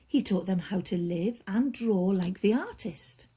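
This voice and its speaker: A woman storyteller reading a children's bedtime story aloud, expressively, with drawn-out emphasis and hushed drops. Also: medium pitch, animated, husky.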